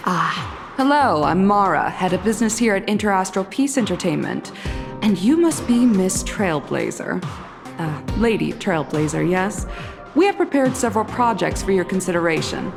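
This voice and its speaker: A woman's voice: mid-range voice, expressive, moderate pace, vocal fry. An actress playing a female head of business for a company in a game cutscene, polite and corporate, stammering when rattled. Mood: polite, salesy. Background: game music.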